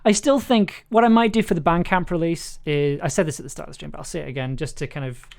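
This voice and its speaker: A man's voice: fairly high pitch, steady tone, quick pace, vocal fry, a little mellow. A man giving casual, hesitant livestream commentary as he edits audio, thinking aloud as a producer. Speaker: streamer and audio drama producer.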